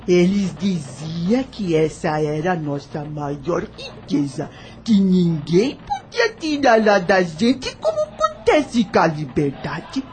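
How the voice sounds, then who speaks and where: An actress playing a wise, devout old enslaved nanny in a radio drama, gentle and motherly, with a husky voice and rustic, unschooled speech. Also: fairly low pitch, huge pitch swings, takes it slowly, a little hiss or hum, exaggerated voice. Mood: tender, reflective. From taken by force from her homeland. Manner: rustic uneducated grammar, patient moral teaching.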